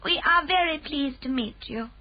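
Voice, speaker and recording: A woman's voice: sits high, dull audio, voice pushed up, natural voice. A woman voicing a composed, gracious queen speaking in a raised, poised, proper voice. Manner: poised, measured, proper speech.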